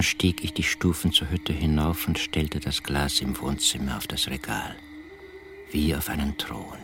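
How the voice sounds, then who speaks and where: An actor playing an embittered, obsessive poor farmer who narrates a radio play in a hushed, intimate, brooding way. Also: male voice, extremely low pitch, exaggerated voice. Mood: brooding, secretive. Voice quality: husky, hushed. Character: Charlie, embittered poor rural farmer obsessed with his jar. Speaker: first-person narrator.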